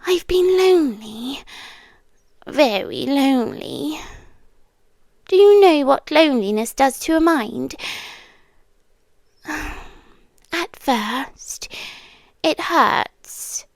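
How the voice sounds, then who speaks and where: A British woman playing a lonely, possessive demon girlfriend in an intimate ASMR roleplay, breathy and coaxing, often slipping into whispers with lingering pauses. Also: high-pitched, lively intonation, no echo, clean studio sound, full character voice. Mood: lonely, yearning. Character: lonely, possessive yandere demon girlfriend, once human, soft-spoken. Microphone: close ASMR microphone, dry.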